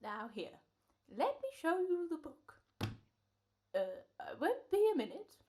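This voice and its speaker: A woman's voice: extremely high pitch, very animated, measured pace, dark tone, full character voice. A woman playing a polite, rambling old coffin salesman in a radio comedy-horror play. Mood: polite salesmanship. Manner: rambling, courteous, folksy patter.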